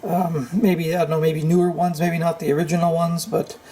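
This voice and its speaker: A man giving an unscripted, hands-on repair walkthrough, thinking aloud in a halting, tentative way. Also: fairly high pitch, level tone, dark tone. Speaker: repair tutorial host.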